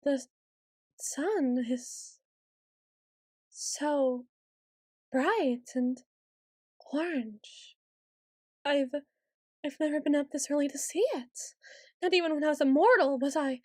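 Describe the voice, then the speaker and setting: A woman's voice: sits high, takes it slowly, pauses often, a little mellow, no room sound, clean studio sound. A woman voicing a haughty, centuries-old tsundere vampire noble in an ASMR roleplay, with theatrical, formal and condescending phrasing. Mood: hushed wonder.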